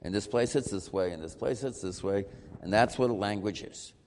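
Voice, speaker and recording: A man teaching a Talmud class, lively and questioning as he works through the argument. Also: on the low side, normal speed, long reverb tail. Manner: animated, questioning, mixing Hebrew terms.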